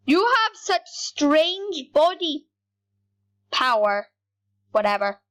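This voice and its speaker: A woman giving rambling, excitable commentary over her gameplay, talking straight to her viewers. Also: high-pitched, slow pace. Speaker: gaming YouTuber.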